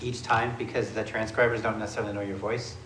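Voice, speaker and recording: A man chairing a city committee meeting, with a gravelly voice and an informal, thinking-aloud way of asking questions. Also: fairly low pitch, little pitch movement, fast, fairly bright tone, roomy sound, slight background noise.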